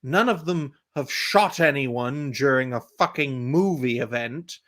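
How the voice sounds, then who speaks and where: Bright-voiced young man hosting. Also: medium pitch. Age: 20s. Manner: crude, quick interjections. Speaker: livestream host. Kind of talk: casual podcast chat.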